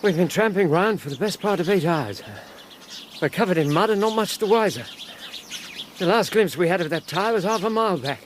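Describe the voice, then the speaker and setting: Plain-spoken english gentleman sidekick, proper and grumbly, very up and down pitch, radio play, slight noise behind. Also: lively intonation, a little fast. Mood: weary, exasperated. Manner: blunt, indignant, proper. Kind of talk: radio drama acting.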